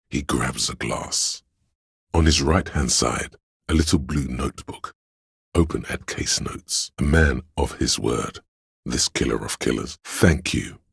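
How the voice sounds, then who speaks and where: An all-knowing inner voice of police instinct in a literary video game. It is extremely low and very husky, speaking with grave deliberation and dry irony. The sound is close and dry, with no room around it. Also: male voice, fairly bright tone, dry recording, full character voice. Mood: grave, measured. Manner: measured, deliberate, dryly ironic. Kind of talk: second-person literary game narration.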